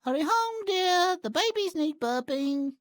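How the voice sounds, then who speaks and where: Man doing a high, nagging fishwife mother. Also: animated, a bit bright, no echo, studio quality, heavily acted. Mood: sweetly bossy. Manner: scolding, drawn-out, falsetto. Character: nagging, shrewish mother of many babies. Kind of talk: fairy-tale video game acting.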